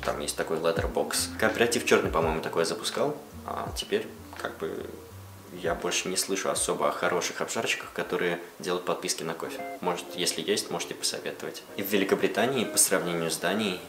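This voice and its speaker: A young man with a deep, low voice chats easily through viewer questions, mixing in casual asides. The room has a noticeable echo, and background music plays clearly throughout. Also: fast talker. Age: young adult. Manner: relaxed, chatty, switches between Russian and English. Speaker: vlogger answering viewer questions. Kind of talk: casual Q&A vlog talk. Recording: roomy echo with music behind.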